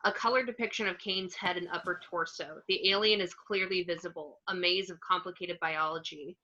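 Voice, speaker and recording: A woman's voice: lowish voice, steady tone, a little fast, pushed and bright, muffled recording. A woman reading screenplay stage directions aloud as scripted narration.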